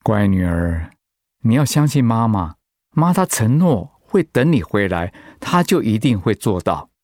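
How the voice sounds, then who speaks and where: An actor playing a gentle, steadfast, reassuring middle-aged father in a Mandarin radio drama. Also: fairly low pitch, big pitch swings, unhurried, dry recording, clean studio sound. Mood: steady, reassuring.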